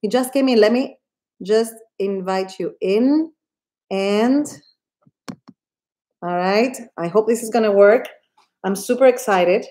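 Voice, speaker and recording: A woman's voice: mid-range voice, slow pace, pauses often, mellow. A woman hosting a live video interview, effusive and enthusiastic, often restarting her sentences. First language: Greek. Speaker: host of a live online interview. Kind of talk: casual livestream interview.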